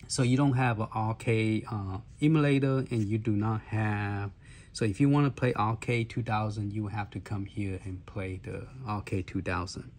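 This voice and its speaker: Hoarse man demoing a handheld. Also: fairly low pitch, steady tone, a little mellow. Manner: halting, many fillers and restarts. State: hoarse, clearing his throat. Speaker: handheld gaming reviewer. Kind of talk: casual walkthrough demo.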